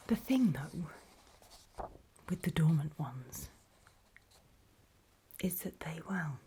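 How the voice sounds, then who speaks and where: A woman playing a weary, wary zombie apocalypse survivor in a halting, breathy whispered ASMR horror roleplay. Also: low voice, mellow, slight background noise, heavily acted. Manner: halting, hesitant, slow and trailing off.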